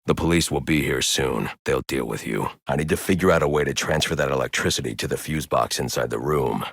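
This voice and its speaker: Dark masked crime fighter, bottomless bass pitch, thick gravelly rasp, grim, menacing, terse, dramatic video game delivery. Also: male voice, fairly quick, no echo, studio quality, heavily acted. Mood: focused, brooding. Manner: stern, terse, intimidating. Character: grim, brooding, menacing adult male masked vigilante detective.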